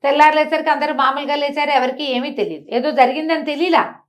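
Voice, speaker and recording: Higher-voiced woman telling a story. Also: fast talker. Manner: conversational, asks rhetorical questions while narrating. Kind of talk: animated oral storytelling in a talk show.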